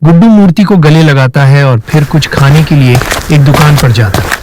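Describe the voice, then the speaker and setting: A man's voice: fairly high pitch, normal speed, background noise. A man narrating a scripted moral story for children as its storyteller.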